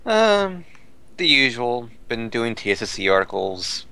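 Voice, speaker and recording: An American man co-hosting a podcast in relaxed, casual conversation. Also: medium pitch, unhurried, vocal fry, clean tone. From United States. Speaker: podcast co-host. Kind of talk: casual chat.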